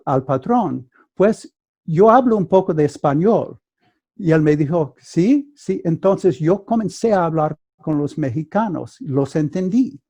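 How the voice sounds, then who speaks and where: A man whose first language is English, speaking Spanish with an American accent as a guest mediator, telling a story carefully with halting restarts over a video call. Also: fairly high pitch, warm tone. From United States. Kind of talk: conversational storytelling.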